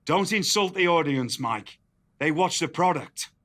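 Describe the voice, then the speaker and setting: A man's voice: fairly high pitch, lively intonation, measured pace, a bit bright. A man with a British accent playing a proud, indignant wrestling show manager in a scripted game cutscene.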